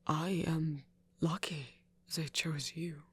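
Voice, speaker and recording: A man playing a soft-spoken, curious and reassuring vampire in an intimate audio roleplay, with a breathy, deliberate delivery. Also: fairly high pitch, fairly even intonation, exaggerated voice. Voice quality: breathy, husky.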